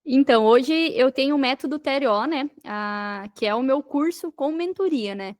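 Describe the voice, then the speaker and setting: Brazilian woman, high voice, emphatic podcast guest who explains like a mentor. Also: moderate pace, a little mellow. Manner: emphatic, repeats phrases for stress. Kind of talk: conversational interview, explaining and advising.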